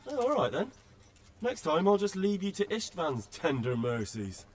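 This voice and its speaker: A man's voice: high-pitched, big pitch swings, moderate pace, a little hiss or hum. An actor playing a cheeky young companion in a game, teasing his lord in a familiar way. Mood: mock-offended, loyal. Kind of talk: video game cutscene acting.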